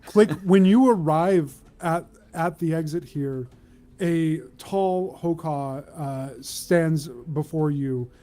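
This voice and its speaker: A man acting as game master, improvising tabletop narration in a measured, descriptive way with mid-sentence pauses. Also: fairly high pitch, warm tone.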